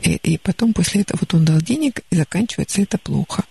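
A woman psychologist counselling a caller on a studio radio call-in show, calm and gently advising. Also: fairly low pitch, fast, vocal fry, husky.

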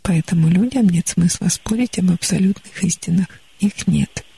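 Husky gravelly woman counselling on radio. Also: lowish voice, moderate pace. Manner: calm, gently advising, many restarts. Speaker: radio psychologist. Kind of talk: call-in counselling. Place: radio studio.

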